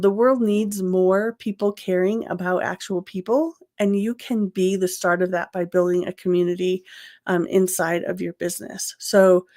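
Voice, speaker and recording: A woman's voice: lowish voice, darkish tone. A middle-aged woman hosting a solo podcast and coaching small business owners, speaking earnestly and encouragingly with a stuffy, nasal voice from a cold and plenty of ums and restarts.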